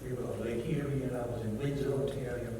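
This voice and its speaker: A man's voice: medium pitch, steady tone, fast. Older German-accented man speaking in an echoey hall. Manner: reflective storytelling, quoting others, self-correcting. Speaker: keynote speaker. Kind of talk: personal testimony lecture. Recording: echoey hall, mic at a distance, background noise.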